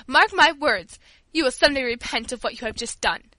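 A woman's voice: high voice, lively intonation, brisk, sharp tone, no echo. A woman voicing a protective, indignant household servant in an audiobook, scolding with emphasis.

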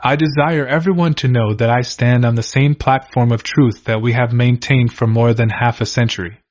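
Man reading a diary, dull lo-fi sound. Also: mid-range voice. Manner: measured, steady first-person reading. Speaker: reader. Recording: slightly dull lo-fi recording.

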